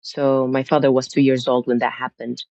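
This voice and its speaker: A woman's voice: very deep voice, flat delivery, normal speed, mellow, dry recording. A woman from Syria, a podcast guest, recounting her family history in a reflective, conversational way with frequent restarts. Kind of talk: conversational interview. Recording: muffled lo-fi sound.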